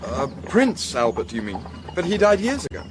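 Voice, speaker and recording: An actor playing a polite, smooth-talking young Victorian scholar in a radio drama. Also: male voice, fairly high pitch, huge pitch swings, moderate pace, noisy background. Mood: courteous.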